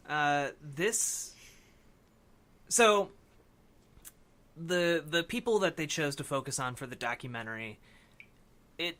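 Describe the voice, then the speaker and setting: A man's voice: a little high, bright, forceful tone. A man hosting a podcast, talking casually about films in a conversational way. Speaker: podcast host. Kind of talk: casual film discussion.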